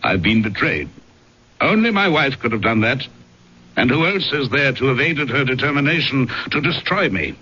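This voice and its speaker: An actor playing a tormented, darkly sardonic aristocrat in an old-time radio drama, speaking in a formal, accusing way. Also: male voice, medium pitch, big pitch swings, moderate pace, bright, forceful tone. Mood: bitter, accusing. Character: bitter, tormented aristocrat betrayed by his wife, darkly sardonic. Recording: muffled, noisy old radio broadcast.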